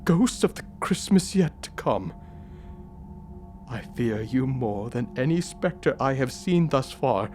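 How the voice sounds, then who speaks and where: A pleading old miser, slow and swooping. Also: male voice, on the high side, darkish tone, full character voice. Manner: earnest, pleading, dramatic. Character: frightened, repentant old male miser, earnest and pleading. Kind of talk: theatrical audio drama acting.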